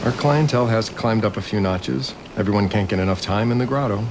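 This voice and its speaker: A man's voice: fairly low pitch, brisk, background noise, close to an everyday voice. An actor playing a grieving, overwhelmed resort heir in an audio drama, hesitant and apologetic, placating whoever he talks to. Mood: businesslike. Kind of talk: naturalistic audio drama acting.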